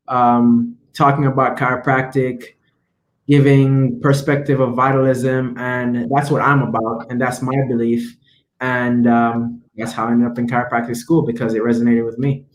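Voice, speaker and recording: A young man from Jamaica with a Jamaican accent, a chiropractic student answering interview questions earnestly over a video call from home, with many fillers. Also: medium pitch. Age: young adult. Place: home room, indoors. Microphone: laptop webcam video call. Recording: echoey room on video call.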